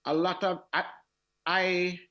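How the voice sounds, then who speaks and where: A man with a Jamaican accent and a raspy voice, telling stories as a singer in a radio interview, animated and often repeating his words. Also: high-pitched, slow, muffled recording. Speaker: reggae singer, guest.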